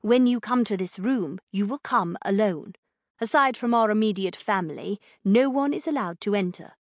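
A woman's voice: high-pitched, very animated, moderate pace, dry recording, studio quality, pitched above the performer's own voice. A woman voicing a stern, protective royal lady in an audiobook, commanding and emphatic in manner. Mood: stern, urgent.

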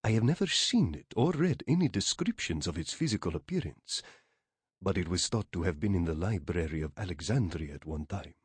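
A man's voice: low voice, sing-song, fairly quick, husky, no room sound, voice pushed down, heavily acted. A man voicing a courteous, cultured Egyptian book seeker in an audiobook, with a rich, lilting Arabic accent and formal, polite phrasing. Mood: polite, insistent.

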